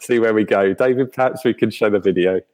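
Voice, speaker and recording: Low-voiced man, very dry sound. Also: quick pace, darkish tone, dry recording. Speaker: church online service host. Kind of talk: casual live hosting chat.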